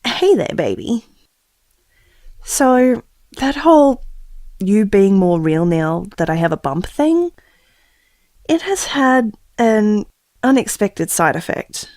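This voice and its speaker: A woman's voice: medium pitch, sing-song, slow pace, pauses often, darkish tone, no room sound. An actress playing an anxious, tender expectant mother, confiding reflectively in an intimate audio drama monologue. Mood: tender, affectionate.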